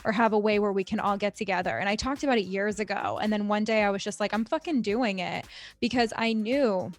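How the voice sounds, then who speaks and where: A woman hosting a podcast, a hair educator who tells her stories in an energetic, emphatic way. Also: mid-range voice, a little fast. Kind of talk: podcast conversation.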